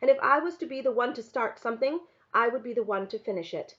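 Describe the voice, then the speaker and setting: A woman reading a first-person story aloud in an expressive narrating style. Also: a little high, fast talker, dull audio. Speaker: reader reading a novel aloud to a class.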